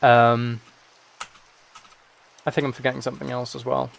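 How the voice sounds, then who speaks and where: Man, mid-pitched voice, tired, casual livestream chat, rambling, self-interrupting, clicks and background noise. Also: little pitch movement, moderate pace, creaky. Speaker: livestreamer and musician.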